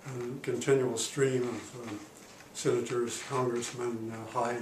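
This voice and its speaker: An elderly man in his eighties talks about his past as a diplomat in a calm, halting way, stopping often to search for words. His voice sits at an ordinary pitch for a man. He is recorded from some way off in a reverberant room with a faint hiss behind him. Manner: halting, many pauses and fillers. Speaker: retired diplomat interviewee. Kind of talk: oral history interview. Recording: roomy, distant mic, faint noise.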